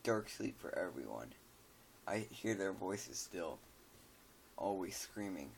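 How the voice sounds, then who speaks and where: A man reading out the diary of a lonely, disturbed man who killed his family, in a quiet, flat, brooding way. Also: deep voice, slow, creaky, slight background noise, exaggerated voice.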